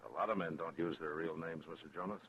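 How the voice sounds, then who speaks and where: Deep, gravelly-husky frontier marshal. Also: male voice, steady tone, fairly bright tone, full character voice. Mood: thoughtful, resolved. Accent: American. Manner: laconic, measured, plain-spoken. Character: steady, watchful frontier US marshal in radio western. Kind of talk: 1950s radio drama acting. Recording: muffled vintage 1950s radio recording.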